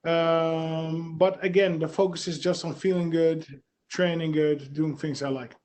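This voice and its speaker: Dutch-accented male fighter, slightly high voice, plain answers, lots of uhs. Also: flat delivery, a little slow, darkish tone. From Netherlands. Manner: short plain answers with many fillers. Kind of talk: press interview answers.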